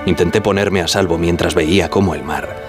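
Deep-voiced, halting shipwreck survivor. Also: male voice, fairly even intonation, music in the background. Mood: somber, reflective. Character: Titanic wireless operator survivor, subdued, respectful witness. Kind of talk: dramatized testimony over music.

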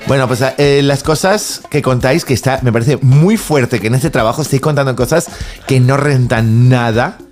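A man's voice: mid-range voice, normal speed, a little mellow, lightly acted. Man playing an indignant young hipster poet, music behind. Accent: Spanish, from Spain. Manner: indignant, muddling and inventing words. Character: aggrieved, dim, slangy young hipster nephew poet. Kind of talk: comic radio character sketch.

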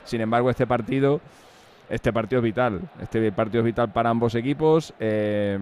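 A man's voice: mid-range voice, moderate pace, darkish tone, slight background noise. A middle-aged man with an accent from Spain, chatting casually on live sports radio as a football commentator, in an anecdotal way. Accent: Spanish (Spain). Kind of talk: casual live sports talk, match preview.

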